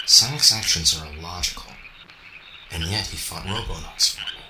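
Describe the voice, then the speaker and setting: An actor playing a brooding, logical robot in an amateur radio drama, speaking in a measured, formal, introspective way. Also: male voice, sits low. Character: brooding, logical young male robot, puzzled by feelings. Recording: echoey, faintly noisy home recording.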